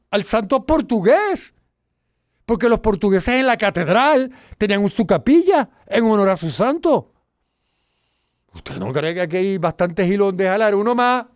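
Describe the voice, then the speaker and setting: A Puerto Rican man hosting an educational talk show, emphatic and animated, dropping to low asides and rising to loud exclaims. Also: no echo, studio quality. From Puerto Rico. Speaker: host.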